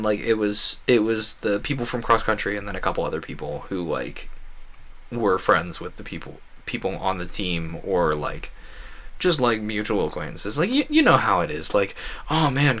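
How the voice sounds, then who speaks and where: Casual man rambling while gaming. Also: lowish voice. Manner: animated, acts out quoted conversations. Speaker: video game streamer. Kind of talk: casual rambling gameplay commentary.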